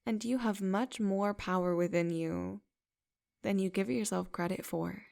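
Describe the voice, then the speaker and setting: A woman leading a guided meditation in a soft, unhurried, affirming way. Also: medium pitch, fairly even intonation, creaky.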